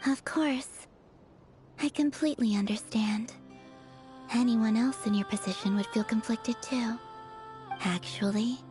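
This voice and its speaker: An actress voices a composed, empathetic young woman in a video game. The voice sits fairly high and speaks gently and thoughtfully. Music is clearly heard underneath. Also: close to an everyday voice. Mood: understanding. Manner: gentle, measured, reflective.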